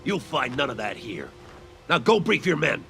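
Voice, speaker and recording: An actor playing a stern, decisive American army colonel in a game cutscene, curt and commanding, with an American accent. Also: male voice, big pitch swings, normal speed, creaky, a bit bright. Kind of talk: dramatic game cutscene acting. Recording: music and vehicle rumble behind.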